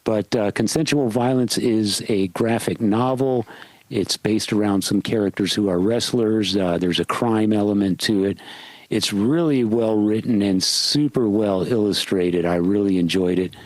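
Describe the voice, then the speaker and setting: A man with a lowish voice hosts a podcast in relaxed conversation. He talks in an easygoing, steady way. The sound is dry and close, with no room echo. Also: unhurried. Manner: easygoing, steady, introduces guest at length. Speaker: podcast host. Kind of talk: casual podcast chat.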